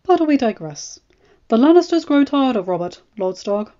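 A woman reads as a shrewd court spymaster in a fantasy story. The voice is raised high, with a formal, indulgent, knowing delivery. Every phrase sounds like calculated scheming. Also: very animated, normal speed, mellow, dull audio. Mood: smooth, knowing. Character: shrewd, smooth, scheming male royal spymaster.

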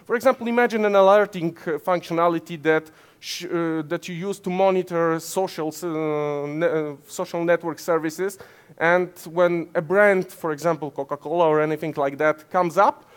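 Polish male speaker, 30s, conference stage, slightly high voice, lots of uhs and restarts. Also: fairly even intonation, moderate pace, smooth. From Poland. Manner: many fillers and self-corrections, gesturing while explaining. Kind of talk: technical conference talk. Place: conference stage in front of slides, indoors.

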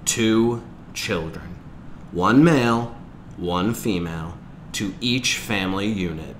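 A man reading a novel aloud as a teacher, in measured, expressive storytelling narration. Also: on the low side. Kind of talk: audiobook-style read-aloud narration. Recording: clear background noise.

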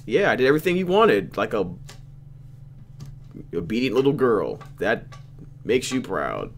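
A man's voice: medium pitch, huge pitch swings, measured pace, slight background noise. A man reading a game aloud as a host, with casual narration and slangy, mock-exasperated asides. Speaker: let's play host reading a visual novel aloud. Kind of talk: casual gameplay commentary and read-aloud narration.

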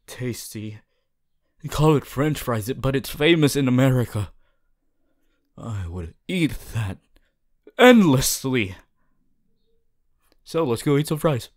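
A man playing a young, cheerful aspiring superhero student in an audio roleplay, earnest and goofy, with booming theatrical boasts and hesitant asides. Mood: friendly, coaxing. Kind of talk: ASMR audio roleplay addressed to listener.

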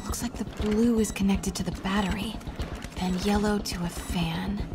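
A woman's voice: medium pitch, steady tone, moderate pace, over music, natural voice. A young woman playing a plucky, determined game heroine, talking practically and half to herself. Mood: focused. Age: young adult. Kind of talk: naturalistic video game acting.

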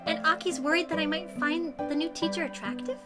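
A woman voicing a sharp-tongued, possessive teenage schoolgirl in a visual novel, with pointed delivery. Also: very high voice, fairly even intonation, brisk. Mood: sweet, clingy. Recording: loud music behind.

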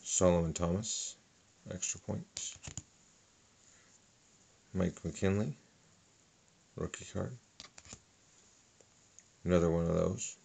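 A middle-aged man calmly listing sports cards one by one at a table, with a lot of fillers. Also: low voice, slow, gravelly, long pauses, slightly rough. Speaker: card collector. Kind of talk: casual card unboxing narration. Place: indoors at a table.

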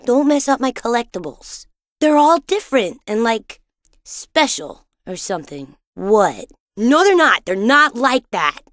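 An excitable, boastful young boy from a cartoon-style video game. His voice sits high and swings around wildly in pitch, with a dramatic, childlike delivery. He takes his time over the words, full of imagination and swagger. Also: a little slow. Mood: curious, indignant. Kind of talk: animated video game voice acting.

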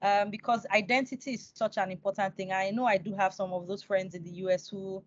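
A woman with a Nigerian accent interviews over a video call with a dry, slightly dull sound. Her pitch is average and her delivery is conversational, respectful and personal. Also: fairly even intonation, a little fast, no echo. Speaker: interview host.

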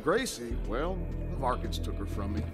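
A man's voice: mid-range voice, sing-song, moderate pace, loud music behind the voice, lightly acted. A man playing a folksy, exuberant bereaved father, hosting a party in a video game. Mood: sorrowful. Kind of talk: video game character acting.

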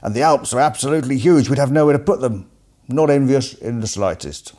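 A man from England delivers scripted comic narration with a dry, deadpan wit. His voice is mellow and relaxed, and the tone stays wry. He speaks close to the camera in a room at home. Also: medium pitch, brisk. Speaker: host. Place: indoors, seated at home. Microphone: facing camera at close range.

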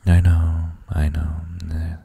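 A man playing a gentle, doting boyfriend in a sleep ASMR roleplay, murmuring soft reassurances in a breathy, gravelly near-whisper with hesitant hums. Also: very deep voice, level tone, slow, no echo, full character voice. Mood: tender, concerned.

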